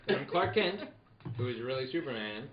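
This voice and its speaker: A man's voice: mid-range voice, lively intonation, normal speed. A man narrating an old-time radio play as its dramatic announcer. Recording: roomy, dull sound, people around. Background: people laughing and chattering.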